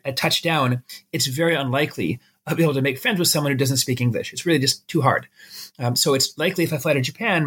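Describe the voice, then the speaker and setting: A man's voice: mid-range voice, a bit bright, husky. A middle-aged man with an American accent, explaining things conversationally with everyday examples as an expert interview guest.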